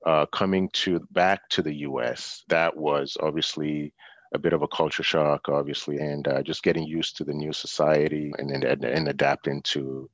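A man's voice: very deep voice, flat delivery, normal speed, no echo, muffled recording. A middle-aged man, a guest in a casual interview, talking thoughtfully with many fillers and restarts. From Nigeria and the US.

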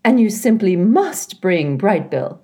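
A woman voicing a chatty, bossy old female goose who talks in a bright sing-song way. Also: mid-range voice, big pitch swings, takes it slowly, pitched above the performer's own voice. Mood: cheerful, bossy.

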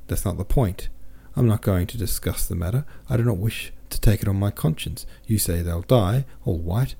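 Man reading a stubborn, dark-voiced cavalry officer. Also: fairly low pitch, moderate pace, creaky, husky. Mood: indignant. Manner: emphatic, stubborn. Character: indignant, principled male cavalry officer. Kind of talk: storybook character reading.

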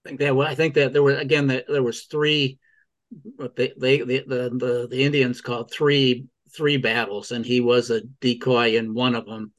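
A man's voice: medium pitch, flat delivery, moderate pace. Male book club participant, mid-range voice, rambling conversational delivery with repeated words. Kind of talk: casual group discussion.

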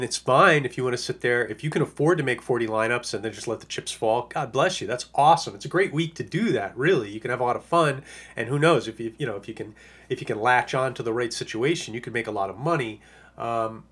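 A man talks through his picks in a loose, unscripted podcast monologue, his hoarse voice a little shot. He rambles and stacks fillers as he goes, at a pitch that sits in the ordinary middle for a man. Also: quick pace, a bit bright. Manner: rambling, lots of uh and you know. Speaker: fantasy football analyst.

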